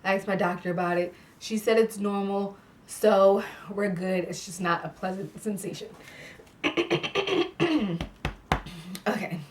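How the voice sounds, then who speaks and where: A woman's voice: mid-range voice, lively intonation, takes it slowly, long pauses, far from the mic. A young woman chatting casually to camera in a vlog, stuffy-nosed and laughing often, filling her talk with "like". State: congested, clears throat. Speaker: vlogger. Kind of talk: casual pregnancy update vlog. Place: indoor room with fairy lights.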